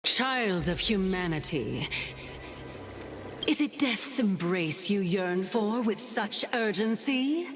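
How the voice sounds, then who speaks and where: A woman voicing a calm, enigmatic caretaker in a video game, with a formal manner. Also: mid-range voice. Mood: calm, knowing. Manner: unhurried, formal. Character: calm, enigmatic female caretaker speaking of death. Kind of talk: scripted video game dialogue. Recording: music under the voice.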